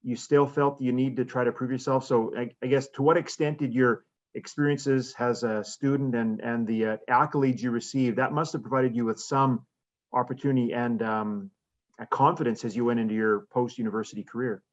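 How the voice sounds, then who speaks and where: A man's voice: mid-range voice, steady tone, fairly quick, lo-fi sound. A man moderating a speaker series, reading audience questions and asking long, rambling questions with restarts. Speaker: interviewer, moderator.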